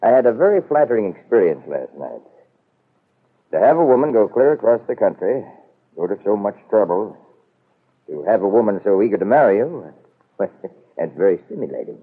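Proud, sardonic middle-aged father, very low husky voice with lively swings, old radio sound. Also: normal speed, long pauses. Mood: smug, amused. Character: proud, wily middle-aged businessman father, cornered but defiant. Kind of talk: 1940s radio soap opera acting. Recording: muffled old radio broadcast.

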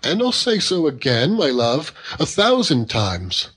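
A man voicing a fond, earnest young Victorian husband who gently reproves and reasons, in a storybook reading. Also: fairly high pitch, huge pitch swings, a little slow, breathy edge, voice pushed up, full character voice.

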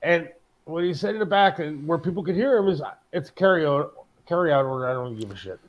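A man's voice: a little high, lively intonation, a little fast, highs cut off. A middle-aged American man co-hosting a talk show, griping casually and emphatically, with some swearing. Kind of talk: casual, opinionated chat.

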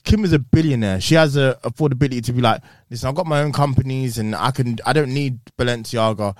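A man's voice: mid-range voice, fast talker, dry recording, clean studio sound. A man chatting bluntly on a podcast, swearing casually and speaking frankly. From England. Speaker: podcast co-host. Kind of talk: casual chat.

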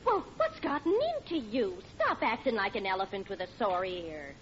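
A woman's voice: medium pitch, wildly swooping pitch, normal speed, a bit bright. An actress playing a teasing, exasperated middle-aged housewife and mother in an old radio comedy. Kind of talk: 1940s radio comedy acting. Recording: muffled old radio with some noise.

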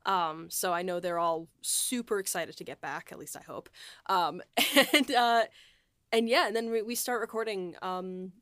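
A woman with a slightly low voice chats casually as a podcast host. She is enthusiastic and gushing, and the sound is dry with very little room. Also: measured pace, a bit bright, smooth, dry recording. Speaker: podcast host and audio drama writer.

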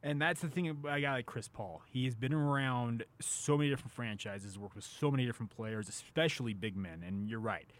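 A man's voice: medium pitch, brisk, hardly any pauses. Emphatic man talking sports. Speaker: sports talk show host. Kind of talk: casual sports discussion.

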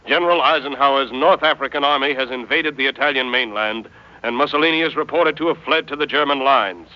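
Actor as 40s radio news announcer, formal bulletin read, mid pitch, dull old broadcast sound. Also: male voice, natural voice. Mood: formal, urgent. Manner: formal, measured.

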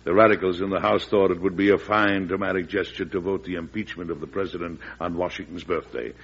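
A man's voice: deep voice, fairly even intonation, fast talker, breathy edge. A man delivering scripted narration for a classic radio drama. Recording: dull old radio broadcast.

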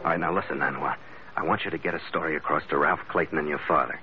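A man's voice: extremely low pitch, fairly even intonation, racing. A man acting a cool, shrewd skipper turned detective in an old radio drama, with a clipped, wry, hard-boiled delivery. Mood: firm, coaxing. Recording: muffled, noisy old radio recording.

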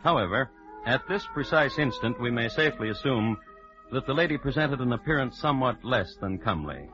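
A man's voice: mid-range voice, lively intonation, brisk, a bit bright. A man narrating an old radio drama from a script, in a wry, arch and ornate manner. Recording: dull vintage radio recording with music.

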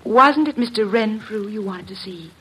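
A woman's voice: mid-range voice, moderate pace, fairly bright tone, slight background noise, natural voice, rough recording. A woman playing a poised, coolly teasing secretary in an old radio mystery, polite and composed.

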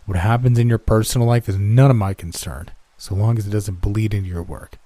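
A man voicing a bullying older male diner owner who yells, curses and sneers. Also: lowish voice, steady tone, slow pace, no echo, full character voice. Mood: scornful. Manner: yelling, cursing, contemptuous.

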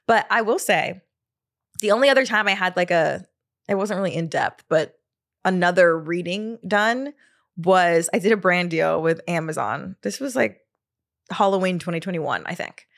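A woman's voice: fairly low pitch, expressive, normal speed, creaky. Chatty woman in her thirties. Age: 30s. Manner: chatty, laughs often, trails off with "like" and "um". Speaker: podcast host. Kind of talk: casual solo podcast chat.

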